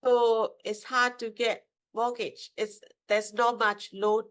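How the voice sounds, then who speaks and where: Lady, 50s, bit high voice, halting broken english, keeps asking "right?". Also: little pitch movement, fairly bright tone, smooth. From Myanmar. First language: Burmese. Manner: broken English with hesitations, emphatic tag questions. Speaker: guest, mortgage broker. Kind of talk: casual interview answers.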